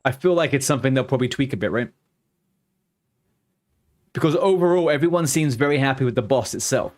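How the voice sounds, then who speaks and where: A man's voice: medium pitch, expressive, brisk. A man in his thirties with a mild, worn-down London accent. He rambles in a loose, chatty way, answering viewers as a game streamer. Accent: softened London. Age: 30s. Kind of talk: casual live-stream chat.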